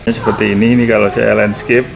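A man's voice: on the low side, little pitch movement, quick pace, vocal fry. A man giving a casual hands-on product demonstration, repeating short phrases as he goes. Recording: noticeable background noise.

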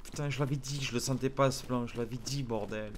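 A man voicing a panicked young male smuggler hiding from police, swearing constantly, stammering and often dropping to hushed whispers. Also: medium pitch, fairly even intonation, brisk, background noise, natural voice. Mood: tense whispering. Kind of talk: improvised roleplay acting.

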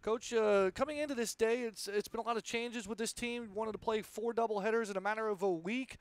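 A male sports broadcaster runs a postgame interview in a high voice. He moves quickly through recaps and questions, with crisp delivery and a close, dry sound. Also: fairly even intonation, no room sound.

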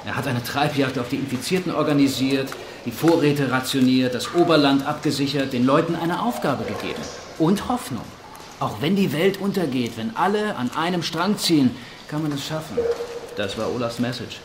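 An actor playing a weary, sardonic survivor in a radio drama, wry and matter-of-fact. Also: male voice, mid-range voice, animated, normal speed, lightly acted. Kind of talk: German radio drama acting. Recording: echoey with noisy ambience.

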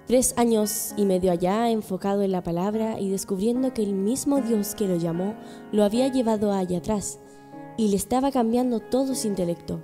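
A woman's voice: mid-range voice, mellow. A woman reading scripted narration for a religious radio drama.

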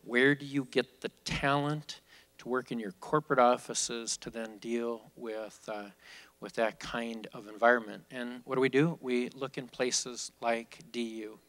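Executive, male, 50s, mid-pitched, loose unscripted delivery on a stage handheld mic. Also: little pitch movement, unhurried, a bit bright. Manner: candid, off-the-cuff, with frequent restarts. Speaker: company executive speaking at a business school event. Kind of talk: conversational onstage Q&A. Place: large auditorium stage, seated.

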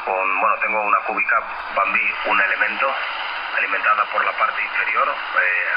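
A man chatting casually about technical matters as a radio operator, haltingly, over a CB radio transmission. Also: lowish voice, steady tone, highs cut off. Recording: noisy, band-limited shortwave radio reception.

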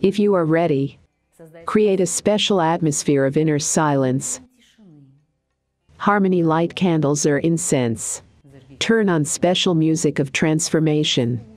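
A woman guiding a meditation in a calm, deliberate, soothing way, with pauses between short phrases. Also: low voice. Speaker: meditation guide and coach. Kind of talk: guided meditation narration.

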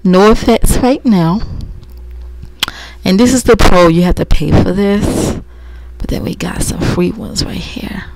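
A woman vlogger talks casually through a software demo at a slow pace. She rambles a little, thinking aloud at her computer microphone, with a mid-pitched voice. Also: creaky, noisy background.

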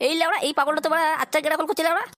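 Man putting on a very high-pitched, whiny loafer son. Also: very fast, pushed and bright, dry recording, clean studio sound. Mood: scheming, excited. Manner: whining, foul-mouthed, grumbling. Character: idle, wheedling grown son, village loafer. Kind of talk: crude adult comedy cartoon dubbing.

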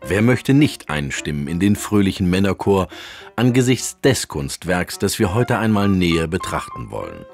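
A solemnly rapturous male art critic for radio comedy. The voice is low and the delivery pompous, florid and carefully scripted, like documentary narration. Faint music plays behind him. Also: big pitch swings, normal speed, vocal fry, full character voice. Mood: solemn, reverent.

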